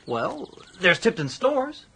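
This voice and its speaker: Bright, breezy American. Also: male voice, high voice, wildly swooping pitch, slow pace, vocal fry, breathy edge, full character voice. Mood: cheerful, easygoing. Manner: slangy, breezy. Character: easygoing, breezy rich American man, good-hearted. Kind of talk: radio comedy drama acting.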